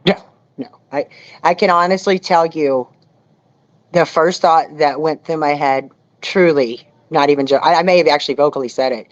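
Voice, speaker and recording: A woman's voice: low-pitched, normal speed, no room sound. Candid woman storyteller on a dull, dry connection. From Houston, Texas area. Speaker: interview guest, outdoorswoman and reality show contestant. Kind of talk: casual storytelling in an interview.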